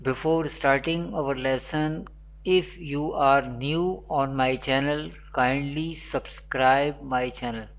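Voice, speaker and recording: A Quran teacher, giving an online lesson in a slow, repetitive teaching manner, with Arabic recitation. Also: medium pitch, fairly even intonation.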